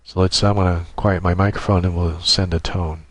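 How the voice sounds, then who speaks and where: Low-voiced man giving a software tutorial. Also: level tone, fairly quick, heavy background noise. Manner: methodical, explanatory, thinking aloud while demonstrating. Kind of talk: informal step-by-step screen tutorial narration.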